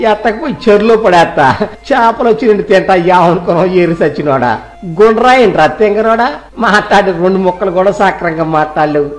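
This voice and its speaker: A man playing a boastful village master in a comic cartoon story, pompous and bossy yet fond, speaking in a Telugu village dialect. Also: high voice. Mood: blustering scolding. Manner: scolding, pompous, colourful comic threats.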